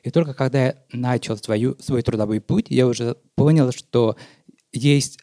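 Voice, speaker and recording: Man, 30s, steady guest, warm dark tone, dry recording. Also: mid-range voice, normal speed, dry recording. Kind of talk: casual conversation.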